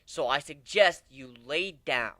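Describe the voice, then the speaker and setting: A man's voice: on the high side, expressive, a little slow, fairly bright tone, dry recording. A man voicing a hot-headed, bossy griffin swordfighter in a dramatic story reading, exclamatory and sarcastic. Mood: stern, scolding.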